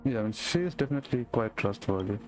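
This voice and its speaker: Lowish-voiced man commenting on a game. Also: animated, racing. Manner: reactive, questioning asides. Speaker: gaming streamer. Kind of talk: casual game playthrough commentary. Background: game music and rain sounds.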